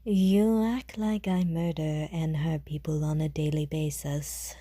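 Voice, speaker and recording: A woman playing a calm, world-weary woman who speaks politely and measuredly, with dry teasing and care, in an audio roleplay. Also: sits low, no echo. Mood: dry, composed. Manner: measured, polite, softly jaded.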